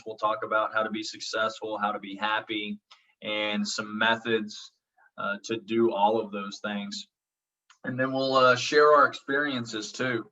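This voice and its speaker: A man from Oklahoma with a noticeable accent, teaching a college class over a video call. His voice is bright and a little forceful, and he talks in a relaxed, reassuring way with lots of ums. The audio is dull and lo-fi, as if he sits back from the mic. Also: lowish voice, distant mic. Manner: reassuring, frequent ums, checks in with "okay?". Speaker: college instructor. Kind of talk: casual online class introduction.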